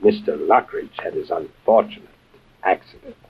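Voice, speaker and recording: On a dull vintage radio recording, a menacing crime lord. His low, husky voice is formal and oily-polite, with a threat under every courtesy. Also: lively intonation, normal speed, full character voice. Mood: veiled threat. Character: suave menacing wealthy Middle Eastern crime boss. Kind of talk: old-time radio drama acting.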